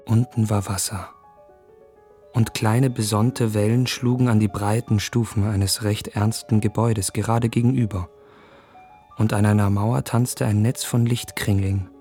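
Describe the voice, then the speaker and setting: An actor playing an earnest, observant young gentleman in a German radio drama, speaking his thoughts in measured, literary phrases. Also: on the low side. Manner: measured, reflective, articulate. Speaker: lead actor.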